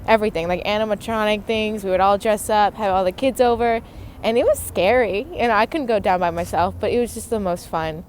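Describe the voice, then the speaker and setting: A young woman from Rhode Island recalling childhood in a thoughtful, measured way. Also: mid-range voice, a little hiss or hum. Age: young adult. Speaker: interviewee, playwright. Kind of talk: casual personal storytelling to camera.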